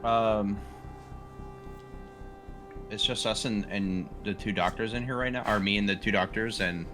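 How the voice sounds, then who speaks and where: A man's voice: on the low side, fairly even intonation, measured pace. A man playing a tabletop game, casually asking questions and narrating his character's moves. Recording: background music under the voice.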